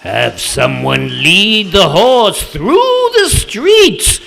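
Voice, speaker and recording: Foolish gloating puppet villain: piercing, bright, swooping wildly, for a kids' puppet show. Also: male voice, high voice, wildly swooping pitch, drawn-out words, gravelly, exaggerated voice. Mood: gloating, greedy.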